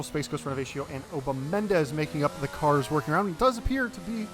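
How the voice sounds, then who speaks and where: A fairly high-voiced American man commentates a sim race in a loose, chatty way, dropping fillers and correcting himself as he goes. A clear music bed plays underneath his voice. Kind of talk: live sim racing commentary.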